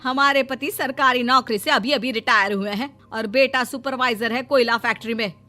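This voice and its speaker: A cross, proud mother-in-law from a cartoon family tale, acted by a woman. Her voice is fairly high and sharp, and she scolds with indignation over a light music bed. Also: moderate pace. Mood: indignant. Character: cross, proud middle-aged mother-in-law in a comic household. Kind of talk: Hindi cartoon moral story dialogue.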